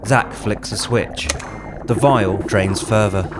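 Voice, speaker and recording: A man's voice: fairly low pitch, slow pace, background noise. A man reading screenplay stage directions as a scripted drama narration. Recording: music under the voice.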